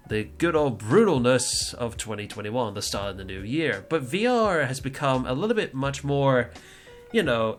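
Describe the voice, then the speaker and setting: A man hosting a casual livestream podcast, rambling and teasing as he introduces his friends. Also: mid-range voice. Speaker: podcast host.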